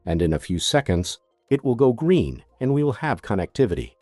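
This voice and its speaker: Male tutorial narrator, methodical product demonstrator with animated intonation, recorded close and dry. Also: medium pitch, a little fast, dry recording, faint music behind. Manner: methodical, step-by-step instruction.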